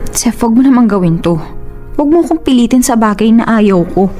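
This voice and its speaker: A woman's voice: a little high, animated, a little fast, dark tone, faint music behind, natural voice. A woman acting in a Filipino radio drama as a stubborn, scolding young woman who is fiercely loyal to her sister. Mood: pleading. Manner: emphatic, scolding.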